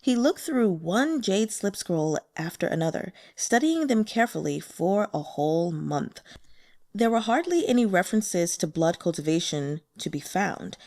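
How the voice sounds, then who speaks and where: A woman reading fiction aloud as an expressive audiobook narrator. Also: medium pitch, normal speed. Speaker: audiobook reader.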